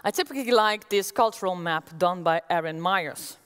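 A woman's voice: on the low side, normal speed, clear, resonant tone. Dutch woman giving a keynote in a hall. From Netherlands. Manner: energetic, gestures as she walks the stage. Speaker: keynote speaker. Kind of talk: conference keynote talk. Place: large auditorium stage with audience. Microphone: headset mic. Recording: long reverb of a large hall.

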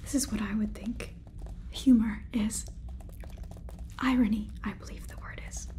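A woman in her twenties doing a whispered ASMR role-play as a polite, curious AI robot overlord, speaking softly and haltingly close to the microphone. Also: a little high. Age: 20s. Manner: soft, halting, deliberate whisper. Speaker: ASMR performer. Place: small room indoors, close up. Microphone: close sensitive microphone.

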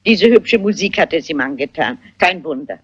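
An actress playing a shrewd, unflappable elderly lady amateur sleuth in a crime film, polite and matter-of-fact, with a husky voice. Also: sits low, lively intonation, moderate pace. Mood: knowing. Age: older. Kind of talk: German dubbed crime film dialogue. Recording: dull, muffled old film soundtrack.

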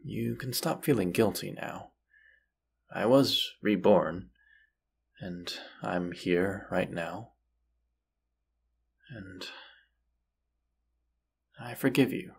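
A man playing a gentle, forgiving vampire hunter and lover in an intimate audio roleplay, speaking tenderly with hesitant pauses. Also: deep voice, creaky, breathy edge, full character voice. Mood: subdued, consoling.

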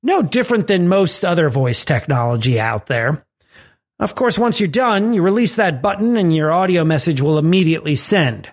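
Animated man reading a podcast script. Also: on the high side, moderate pace. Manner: reads from a script with lively rising and falling emphasis. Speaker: podcast host. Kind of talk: scripted tech podcast narration.

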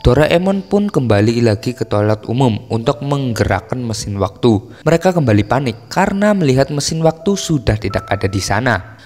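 A man's voice: mid-range voice, big pitch swings, fairly quick, darkish tone, long reverb tail. A man with an Indonesian accent narrating a story recap in a casual, scripted style. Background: music.

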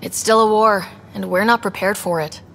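A woman's voice: mid-range voice, fairly even intonation, normal speed, vocal fry, fairly bright tone, slight background noise. A woman voicing a young space explorer in a video game, earnest, direct and full of probing questions. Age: young adult. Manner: direct, inquisitive. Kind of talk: video game dialogue acting.

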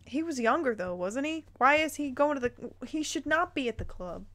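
Playful woman reading aloud, higher voice. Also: expressive, normal speed, fairly bright tone. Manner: playful, incredulous asides. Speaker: YouTuber reading fan fiction aloud. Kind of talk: dramatic reading with bemused commentary.

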